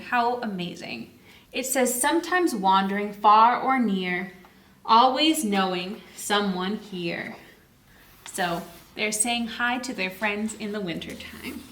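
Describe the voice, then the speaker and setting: A woman's voice: a little high, sharp tone, reverberant, slight background noise. A woman hosting a children's story time, reading aloud and explaining in a sing-song, exclaiming way with questions she answers herself.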